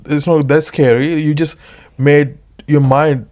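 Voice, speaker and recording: A man from Sri Lanka hosting a casual interview, nodding along with quick agreeing fillers and telling stories in a room at his workplace. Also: on the high side, little pitch movement, darkish tone. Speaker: podcast host. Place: room at a dental service workplace.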